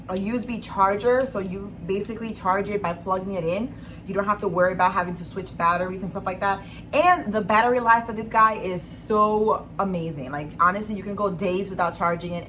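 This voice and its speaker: A young woman with a raspy, hoarse voice, casually chatting through a favorites vlog with lots of enthusiasm. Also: medium pitch, a bit bright, a little hiss or hum. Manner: chatty, enthusiastic, many fillers. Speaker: beauty vlogger.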